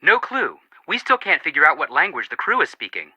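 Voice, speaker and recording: A playful young male detective from a video game. His voice is very bright and animated, with a goofy, wisecracking delivery. The sound is slightly dulled, as on a phone call. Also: fairly high pitch, brisk, breathy edge, dry recording, full character voice. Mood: joking.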